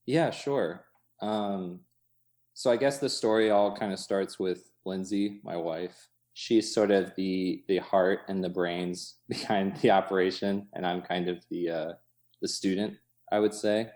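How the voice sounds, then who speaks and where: A man talking casually as an interview guest, in an informal, halting way full of hedging asides. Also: low-pitched, fairly even intonation, a little mellow. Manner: informal, halting, many hedging asides, chuckles through speech. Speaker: interview guest, coffee shop co-owner. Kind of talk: casual chat. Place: inside his cafe.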